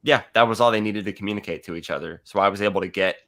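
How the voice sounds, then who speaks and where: A man's voice: on the low side, racing, fairly bright tone. An American man from California chatting casually as an online host, rambling and jokey, with profanity. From California, United States. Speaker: livestream host.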